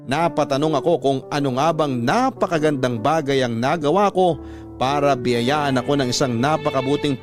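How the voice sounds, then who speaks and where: A man narrating a scripted first-person love story for radio in an earnest, sentimental manner, over instrumental music. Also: mid-range voice.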